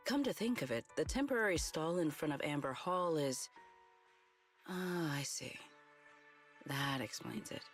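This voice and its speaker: Music plays clearly behind a courteous female official in an anime-style game. Her voice sits a little low, and she speaks with formal, measured composure. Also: animated. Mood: courteous, composed. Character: formal, courteous woman deputy director of air patrol.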